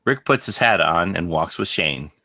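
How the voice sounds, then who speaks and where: A man's voice: sits low, animated, moderate pace, gravelly, sharp tone, no room sound, studio quality. A man narrating scripted stage directions for a drama reading.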